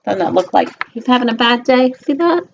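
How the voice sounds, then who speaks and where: A woman's voice: fairly high pitch, sing-song, fairly quick, vocal fry, muffled recording, a little hiss or hum. A woman reading a children's picture book aloud expressively, with simple instructive asides to young listeners. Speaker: storybook reader.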